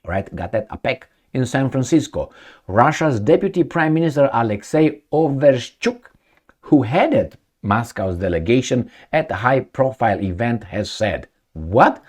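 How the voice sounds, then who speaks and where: A man's voice: mid-range voice, sing-song, unhurried. A middle-aged man reading a news article aloud as a commentator, breaking off with sarcastic asides and stumbling over words. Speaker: political commentator.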